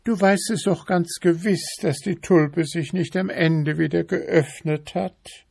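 A man's voice: fairly even intonation, takes it slowly, gravelly, darkish tone, breathy edge, no room sound, studio quality, exaggerated voice. A man voicing a preachy, nagging female beetle who pesters her husband with insistent questions, in a storybook reading. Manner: insistent, high-pitched questioning.

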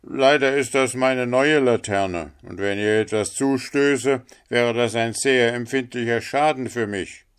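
A man's voice: medium pitch, unhurried, few pauses, clear, resonant tone, voice pushed up, natural voice. A man voicing a selfish, scheming miller in a read-aloud storybook, pleading in a wheedling, self-serving way. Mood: evasive.

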